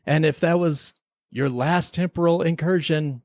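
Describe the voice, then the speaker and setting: A man's voice: on the high side, unhurried, darkish tone, no room sound, clean studio sound. A man hosting a podcast, bantering in a teasing, mock-formal courtroom style. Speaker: podcast host. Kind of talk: semi-scripted mock-tribunal podcast banter.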